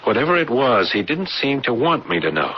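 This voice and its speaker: An actor in a radio drama playing a haunted man who recounts a frightening boyhood memory in halting, stammering phrases, on a noisy vintage broadcast. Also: medium pitch, big pitch swings, husky, muffled recording. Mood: uneasy, halting. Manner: halting, stammering, repeating words.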